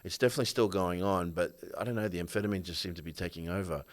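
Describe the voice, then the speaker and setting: A man hosting a casual podcast interview, chatty and relaxed, laughing easily. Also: low voice, rapid-fire. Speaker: host.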